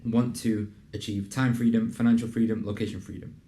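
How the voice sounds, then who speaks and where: A young man hosting a casual interview and pitching his program in an earnest, conversational way, with plenty of fillers. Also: little pitch movement, fairly quick, dark tone, slight background noise. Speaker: host and program founder. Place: in a building in a city business district.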